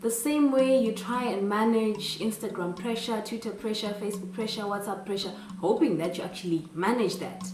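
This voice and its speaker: A woman from Zimbabwe talks straight to first-year students, earnest and encouraging, asking rhetorical questions as she goes. She sounds a little back from the mic, in a roomy space. Clear music plays behind her. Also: medium pitch, moderate pace, few pauses, a little mellow, recorded from a distance. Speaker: vlogger giving student advice. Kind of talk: advice vlog, direct address.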